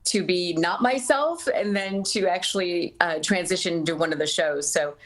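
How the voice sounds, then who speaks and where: A woman's voice: on the low side, moderate pace. A woman answering as an interview guest in a casual, conversational style. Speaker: interview guest, radio broadcaster and actor.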